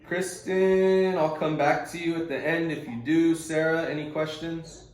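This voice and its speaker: A man's voice: fairly high pitch, little pitch movement, measured pace, very echoey, poor audio. A man coaching a group on a conference call, explaining things informally and conversationally with casual slang. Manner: casual and conversational, uses slang like bro and bud.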